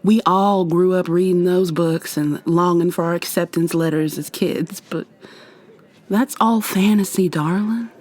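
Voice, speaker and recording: Slow, husky, playful witch played by a woman. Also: lowish voice, a little mellow. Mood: teasing. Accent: American. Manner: teasing, affectionate, conversational with trailing pauses. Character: playful, flirty modern witch mentoring a new coven member. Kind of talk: intimate ASMR roleplay addressed to the listener.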